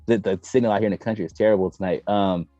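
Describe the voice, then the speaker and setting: A man's voice: fairly low pitch, fairly even intonation, rapid-fire, warm tone, no echo, faint music behind. An American man co-hosting a gaming show in laid-back, slangy casual chat, on dull lo-fi audio.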